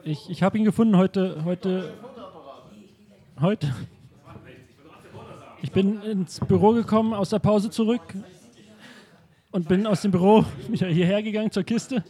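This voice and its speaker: A man's voice: high-pitched, unhurried, pauses often, mellow. A man playing a bewildered, flustered museum director in an audio drama, stammering and repeating his words. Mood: shaken. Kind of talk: improvised German audio drama.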